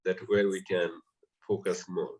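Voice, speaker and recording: A man's voice: deep voice, little pitch movement, measured pace, a little mellow. A man moderating an informal online discussion, politely forming questions with repeated words, heard over a video call. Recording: dull lo-fi online call audio.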